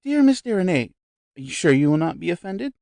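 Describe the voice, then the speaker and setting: Man reading effusive shrill spiritualist lady, pitched way up, dry audiobook. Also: sing-song, a little fast, creaky, dark tone, no echo, full character voice. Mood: confiding. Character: gushing middle-aged female society spiritualist.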